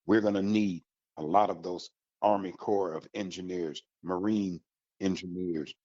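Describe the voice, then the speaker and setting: An American man hosting an informational radio talk, speaking with emphatic, deliberate, motivational phrasing. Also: a little mellow, slightly rough. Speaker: radio host. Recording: muffled, roomy, echoey low-fidelity sound.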